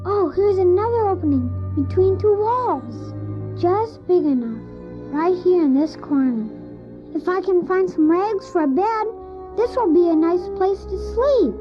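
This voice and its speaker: A very high-pitched little boy, acted for an audio drama, orphaned and earnest. He speaks slowly, simply and politely, with a plaintive edge. The old cassette sound is dull, with music behind him. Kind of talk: scripted audio drama acting.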